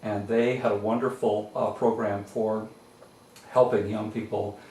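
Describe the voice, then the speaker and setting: An older man from western Massachusetts, a native French speaker, answering interview questions in a measured, reflective way with many pauses. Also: lowish voice, little pitch movement, warm tone. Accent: mix of French Canadian and American. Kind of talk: oral history interview. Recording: roomy audio from a distance with faint hiss.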